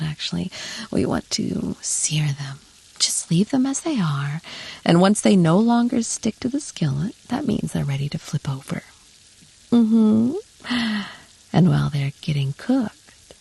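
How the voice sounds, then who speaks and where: Breathy, creaky woman acting a doting yandere wife. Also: on the low side, heavily acted, rough recording. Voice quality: breathy, often slipping into whisper. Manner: coaxing, doting, softly instructive. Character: possessive, doting yandere wife training her captive husband. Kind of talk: intimate ASMR roleplay.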